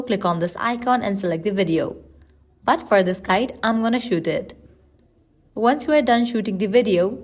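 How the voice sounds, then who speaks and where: A woman narrating a scripted how-to guide, giving instructions step by step. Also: medium pitch. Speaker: tutorial narrator.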